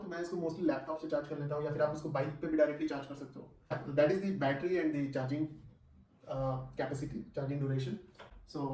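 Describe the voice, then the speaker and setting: A man talks through a product review in a casual, conversational way. His voice sits a little higher than average. He is some way from the microphone in a clearly echoey room, and he drops English phrases and short check-in questions into his talk. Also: dark tone, far from the mic. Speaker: vlogger reviewing gear.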